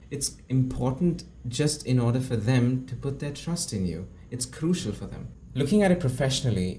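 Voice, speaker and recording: Low mellow young man coaching speech. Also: a little hiss or hum. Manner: demonstrates tones, shifts loudness for emphasis. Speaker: speaking coach. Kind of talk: instructional video talk.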